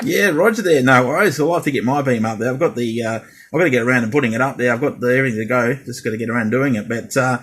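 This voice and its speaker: A man's voice: mid-range voice, quick pace, noisy background. A man in a casual radio chat as a CB operator, speaking hesitantly and repeating himself. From Australia.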